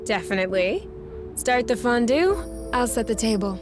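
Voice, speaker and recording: Playful, high-voiced young woman, acted, over music. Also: expressive, moderate pace, natural voice. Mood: relaxed, playful. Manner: casual, teasing. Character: playful, teasing young woman living with her partner. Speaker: video game character. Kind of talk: naturalistic game dialogue, casual banter. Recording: music behind the voice.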